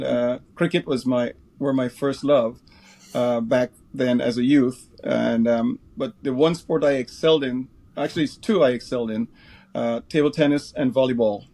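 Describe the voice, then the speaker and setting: Older man from Guyana, sixties, interview guest; low gravelly warmth, unhurried, fills pauses. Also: steady tone. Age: 60s. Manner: unhurried, many uh fillers. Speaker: guest, pickleball referee director. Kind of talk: interview answers, recollecting.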